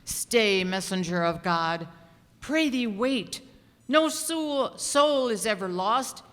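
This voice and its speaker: A man performs a devout, self-sacrificing priest for a radio play. The delivery is unhurried, earnest and archaic, and it carries through a long hall reverb. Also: sits high, animated, fairly bright tone, full character voice. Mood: earnest pleading. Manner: earnest, solemn, archaic speech. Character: gentle, self-sacrificing old priest, pleading for souls. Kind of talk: old-time radio drama acting.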